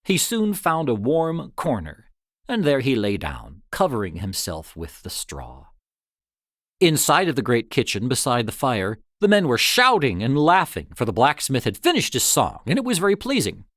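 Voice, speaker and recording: A man reading an old tale as scripted narration, in a dramatic, expressive storytelling manner. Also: mid-range voice, moderate pace, dry recording, studio quality. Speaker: story reader.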